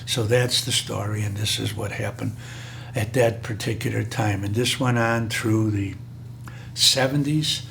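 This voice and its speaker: Older hoarse man telling a story. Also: on the low side, fairly even intonation, fairly bright tone, a little hiss or hum. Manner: rambling, frequent filler pauses. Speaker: interviewee. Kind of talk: oral history recollection.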